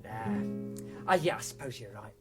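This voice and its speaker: A woman's voice: very deep voice, very animated, unhurried, heavily acted. A woman playing a naive, gushing prisoner who plays guitar, dreamy and earnest, in a comic radio play. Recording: guitar music under the voice.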